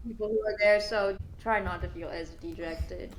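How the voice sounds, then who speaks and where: A woman co-hosting a podcast in casual chat, bubbly and quick to react. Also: medium pitch, steady tone, low-quality recording. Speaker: podcast co-host.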